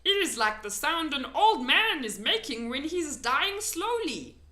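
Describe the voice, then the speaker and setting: A woman puts on a big friendly giant from a storybook, earnest and full of wonder. The speech is slow and emphatic, in muddled folksy grammar, and swings widely in pitch. The room rings around the voice. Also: sing-song, pushed and bright, echoey room, exaggerated voice. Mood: solemn.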